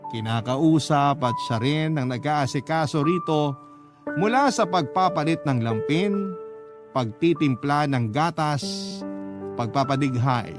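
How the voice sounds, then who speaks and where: A man narrating an emotive first-person story as the narrator of a radio drama. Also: a little high. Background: background music.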